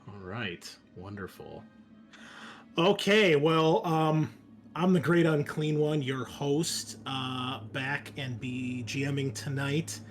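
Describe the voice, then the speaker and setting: A man's voice: medium pitch, lively intonation, takes it slowly, faint music behind. A man hosting and running a tabletop game in a casual, enthusiastic, sweary, conversational way. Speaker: game master and host.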